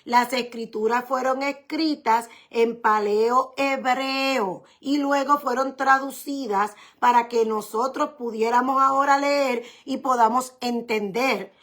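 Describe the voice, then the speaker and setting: Higher-voiced woman pastor teaching. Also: fairly even intonation, a bit bright. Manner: deliberate, explanatory, repeats key points for emphasis. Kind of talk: didactic sermon teaching.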